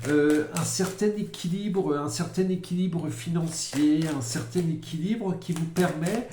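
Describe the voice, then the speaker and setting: A man giving a casual monthly tarot-style forecast, talking slowly with many fillers and repeated words. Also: fairly high pitch, mellow. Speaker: psychic card reader. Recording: echoey room, faint noise.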